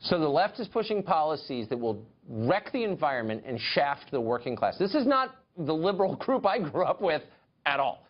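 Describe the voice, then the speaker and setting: Male news host, lively pitch, pointed questions, slightly dull broadcast sound. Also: moderate pace. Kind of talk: news interview.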